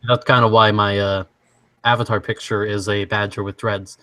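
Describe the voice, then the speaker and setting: A young man from Washington state hosts a casual stream chat, reading quiz lines and laughing along with his guests. His voice sits a little low. It is recorded close on a desk mic, dry with almost no room. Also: flat delivery, sharp tone, no echo. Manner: easygoing, laughs often, halting restarts. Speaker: stream host.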